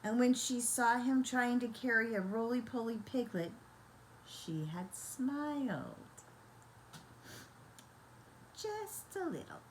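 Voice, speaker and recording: Slow-paced older woman reading a bedtime story. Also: fairly high pitch, big pitch swings, a little hiss or hum, rough recording. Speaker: children's storyteller.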